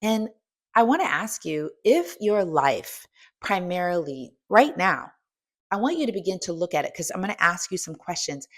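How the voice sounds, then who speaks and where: Woman, fifties, strength coach, emphatic, direct questions, lowish voice. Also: very animated, normal speed. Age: 50s. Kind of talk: motivational coaching talk.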